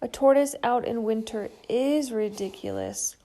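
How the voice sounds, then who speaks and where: A woman's voice: on the high side, a little slow, warm tone, natural voice. A woman voicing a small, stubborn young female tortoise in a storybook, earnest and a little cross. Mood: sad.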